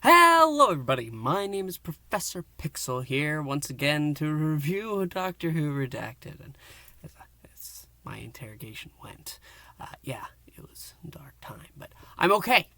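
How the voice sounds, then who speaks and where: A man's voice: a little high, big pitch swings, moderate pace, sharp tone, no echo. A man recapping an audio drama in a casual, playful review, often dropping into a whisper. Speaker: podcast reviewer.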